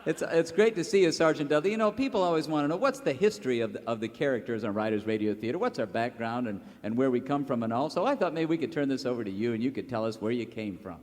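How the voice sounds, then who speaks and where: A man's voice: medium pitch, lively intonation, quick pace, hardly any pauses, a little mellow. A playful, folksy man doing exclamatory live comic banter as a radio show sidekick. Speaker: comedy radio show cast member, sidekick. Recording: live stage hall reverb, faint noise.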